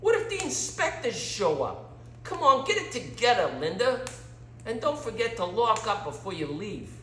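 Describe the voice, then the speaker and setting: A man's voice: high voice, very animated, moderate pace, sharp tone, a little hiss or hum, voice pushed up, heavily acted. A man voicing an angry, bossy restaurant owner who scolds his staff in a story. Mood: angry, exasperated. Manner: exclaiming, scolding. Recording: echoey room.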